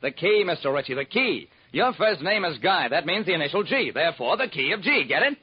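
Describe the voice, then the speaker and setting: A wry, hard-boiled male private eye, tells his case in clipped phrases. His husky voice moves in lively swings, on a muffled vintage radio recording. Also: on the high side, fairly quick, heavily acted. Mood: probing. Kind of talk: old-time radio detective narration.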